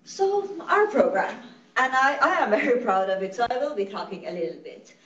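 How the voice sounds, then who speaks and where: A woman's voice: on the high side, very animated, brisk, a bit bright. Woman, Farsi speaker, earnest conversational lecturer, heard from afar in an echoey room, muffled audio. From Iran. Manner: earnest, explanatory, frequent self-corrections. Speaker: literacy program director giving a presentation. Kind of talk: conversational lecture to medical audience. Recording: echoey, distant, dull-sounding room recording.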